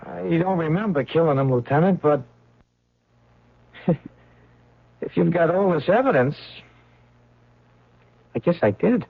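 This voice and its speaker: An actor playing a bewildered crime suspect in a radio drama, husky, stammering and pleading, on a dull old recording. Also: male voice, fairly high pitch, lively intonation, slow pace, long pauses, no echo, heavily acted. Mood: panicked, bewildered. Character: nervous, bewildered male suspect protesting innocence. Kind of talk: 1970s radio drama acting.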